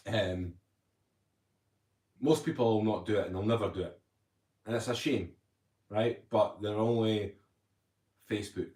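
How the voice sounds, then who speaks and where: A man's voice: on the low side, darkish tone. A man hosting a casual livestream, rambling chattily and reading viewer comments aloud. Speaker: livestream host. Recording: roomy echo.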